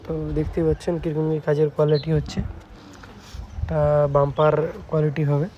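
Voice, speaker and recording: A man's voice: fairly high pitch, monotone, a little fast. A man with a West Bengal Bengali accent giving casual, plain-spoken, informal vlog commentary on his work, repeating himself often. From West Bengal, India. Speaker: speaker repair shop owner presenting his work. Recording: muffled lo-fi sound with faint noise.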